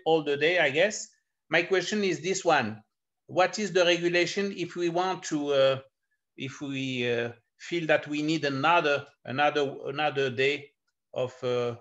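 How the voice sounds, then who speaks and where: A man's voice: a little high, fairly bright tone. A man asking hesitant questions full of fillers at an online meeting over a video call. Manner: hesitant, many fillers, switches to French. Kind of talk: halting questions in a public meeting. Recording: muffled video call audio.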